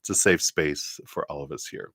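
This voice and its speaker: A man hosting a live tarot reading stream, talking casually and gently to viewers with a congested, nasal voice and many fillers. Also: very deep voice, expressive, moderate pace, gravelly, no room sound. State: congested, nasal from a stuffy nose.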